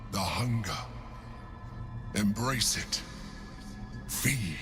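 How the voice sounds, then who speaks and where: Inhuman mind flayer mentor, low-pitched, slow, solemn, coaxing, commanding, video game cutscene with music. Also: male voice, lively intonation. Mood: urging, commanding.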